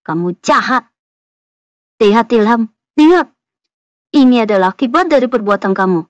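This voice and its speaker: A woman's voice: on the high side, lively intonation, normal speed, dry recording, natural voice. A woman playing a guilty, emotional young woman in a horror cartoon, with melodramatic delivery. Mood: bitter, vindictive. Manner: emotional, dramatic. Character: guilty young woman, spiteful yet easily terrified. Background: background music.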